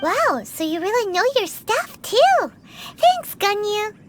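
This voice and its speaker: A woman voicing a tiny floating female fairy companion who talks in a bubbly, excitable way, in a game scene. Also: very high voice, slow pace, exaggerated voice. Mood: excited. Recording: game audio with music behind.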